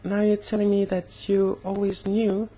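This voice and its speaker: A young woman talking casually in a personal vlog, slightly hoarse, with an earnest, halting way of speaking. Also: medium pitch, completely flat delivery, mellow, rough recording. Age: young adult. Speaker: vlogger.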